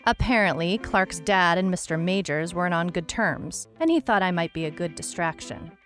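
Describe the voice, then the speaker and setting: A woman playing a wry, world-weary private detective, narrating her case log in a dry, deadpan noir style. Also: on the low side, moderate pace, faint music behind, close to an everyday voice. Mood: dry, matter-of-fact. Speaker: narrator, lead actor.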